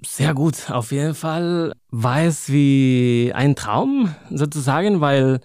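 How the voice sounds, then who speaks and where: A man's voice: mid-range voice, lively intonation, slow pace, a little mellow, no echo, studio quality. A man in his thirties from Spain, speaking German with a Spanish accent as his second language, a poet guest in an interview who talks thoughtfully, searches for words and laughs easily. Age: 30s. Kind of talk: interview, reading own poem.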